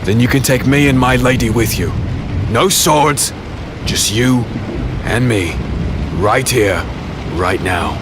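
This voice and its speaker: An actor playing a defiant, battle-worn swordsman who narrates and speaks in a dark fantasy audio drama, gritty and earnest. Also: medium pitch, takes it slowly, pauses often, lightly acted. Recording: loud storm noise under voice. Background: rain, thunder and storm ambience.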